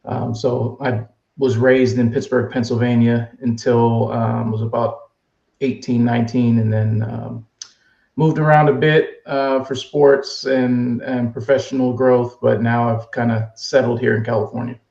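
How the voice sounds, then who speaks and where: Gravelly man in an echoey room. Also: medium pitch, little pitch movement, a little slow, dark tone. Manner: easygoing, storytelling. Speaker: guest. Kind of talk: casual interview answers. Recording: echoey room, dull lo-fi sound.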